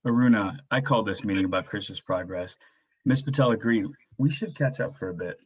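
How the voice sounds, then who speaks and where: A man playing a stressed stay-at-home father in a staged drama reading, earnest, terse and quietly frustrated. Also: brisk, husky. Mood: firm. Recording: dull, low-fidelity microphone sound.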